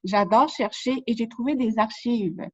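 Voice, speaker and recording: Une femme bibliothécaire qui mène une entrevue d'un ton amical. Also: female voice, fairly high pitch, a little slow, a little mellow, slightly rough. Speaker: interviewer, librarian. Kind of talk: conversational interview. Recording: dull lo-fi sound.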